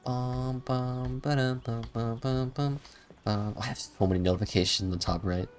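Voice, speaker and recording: A man's voice: mid-range voice, fairly even intonation, moderate pace. A young man doing casual, slangy live game commentary, rambling and muttering to himself. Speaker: game streamer. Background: video game music.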